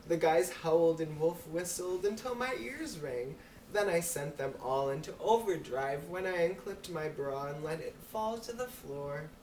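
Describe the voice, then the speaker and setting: Giggly lady reading a story out loud, kinda low voice, far from the mic, noisy roomy recording. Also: expressive, a little slow. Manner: giggly, breaking into laughs while reading. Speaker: amateur reader. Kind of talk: reading an erotic story aloud. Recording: roomy, distant mic with clear noise.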